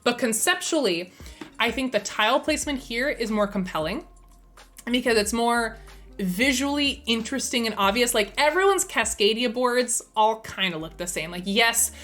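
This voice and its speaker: A woman's voice: a little high, expressive, moderate pace, a bit bright, faint music behind. Chatty woman streamer, slightly strained voice. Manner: chatty, enthusiastic, thinks aloud with fillers. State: slightly strained, losing her voice. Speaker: streamer and host. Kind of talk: casual live-stream chat.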